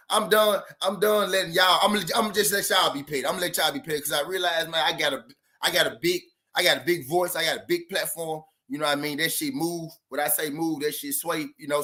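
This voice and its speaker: Bright, high-voiced man ranting casually. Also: fairly quick. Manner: loose, rambling and profane, repeats himself. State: says he is high. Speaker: boxing channel host. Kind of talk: casual live-stream talk.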